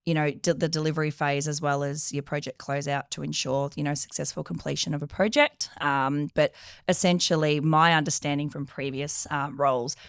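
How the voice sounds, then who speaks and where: A woman's voice: low voice, fairly even intonation, a little fast, clear, resonant tone, dry recording, clean studio sound. A young woman being interviewed as a guest, answering hesitantly with many fillers while losing her voice. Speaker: project coordinator guest.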